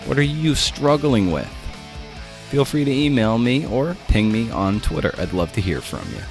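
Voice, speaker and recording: A man's voice: medium pitch, animated, normal speed, faint music behind. A man hosting an interview podcast in a casual, informal conversational way. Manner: informal, peppered with "you know". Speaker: podcast host.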